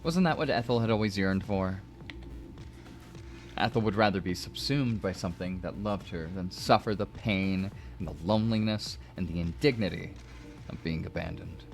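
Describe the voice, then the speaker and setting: A man narrating prose fiction as a dramatic reading, measured and expressive. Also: sits low, soft background music. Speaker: narrator and host of a story stream.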